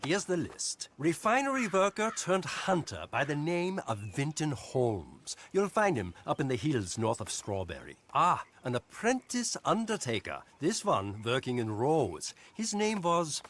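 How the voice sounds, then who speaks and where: A fussy german moneylender, precise n formal, lively up and down pitch, game scene, slight background noise. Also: male voice, measured pace, hardly any pauses, full character voice. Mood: businesslike, sly. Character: shrewd, fussy male German-speaking moneylender. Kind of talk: video game acting.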